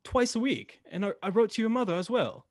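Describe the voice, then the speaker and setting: A man's voice: high-pitched, expressive, brisk, no echo, studio quality. A male actor in a radio drama playing a proud, earnest young bridegroom who speaks firmly. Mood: earnest, reassuring.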